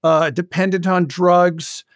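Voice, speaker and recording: A man being interviewed as a show creator, chatting casually in a halting way with wry, humorous asides. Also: high-pitched, steady tone, dry recording, studio quality. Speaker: podcast guest, audio drama creator. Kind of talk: casual animated interview chat.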